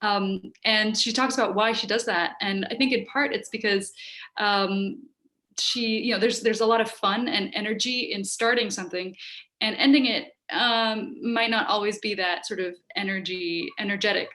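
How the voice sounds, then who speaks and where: A woman in her thirties, a native Hungarian speaker, talking informally and haltingly as she answers questions as a writer on a video call. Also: mid-range voice, fairly even intonation, pushed and bright. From United States, Hungarian-speaking home. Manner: thoughtful, halting, thinking aloud. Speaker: writer giving an online workshop. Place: book-lined room at home. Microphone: video call, wearing headphones.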